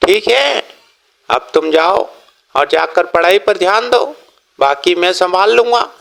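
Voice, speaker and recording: Casting: male narrator as shrewd village merchant dad, high-pitched, slow, approving and paternal, for a cartoon fable. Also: expressive, vocal fry, fairly bright tone, full character voice.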